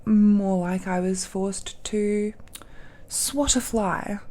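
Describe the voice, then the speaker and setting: A woman's voice: medium pitch, steady tone, extremely slow, mellow. A woman voicing an ancient, possessive villainess in an intimate audio roleplay, teasing and condescending with smug, doting airs. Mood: smug, nonchalant. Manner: languid, condescending, teasing, with sighs and hums.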